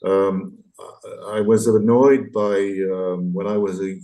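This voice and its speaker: A man in his sixties with a low, soft-edged, mellow voice gives reflective interview answers. He is articulate and thoughtful, often searching for the right word, and gently self-deprecating. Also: steady tone, slow pace, gravelly. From France, partly raised abroad. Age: 60s. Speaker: interview guest, graphic novelist and illustrator.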